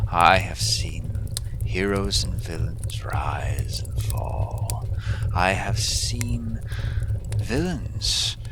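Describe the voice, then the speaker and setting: A man playing a centuries-old villain overlord in an audio roleplay, solemn, grandiose and devoted, with a breathy voice and measured, dramatic delivery. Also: fairly low pitch, noisy background. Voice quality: breathy, whispery. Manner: measured, dramatic, deliberate pauses. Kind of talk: slow, intimate audio roleplay monologue.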